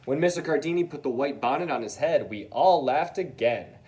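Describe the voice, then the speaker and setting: A man's voice: medium pitch, animated, a little fast, a little mellow. A man reading a children's storybook aloud expressively, with casual asides to his young listeners.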